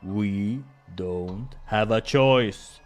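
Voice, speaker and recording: A man voicing a big, protective male doctor from a visual novel, speaking urgently and forcefully. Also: lowish voice, very slow, faint music behind.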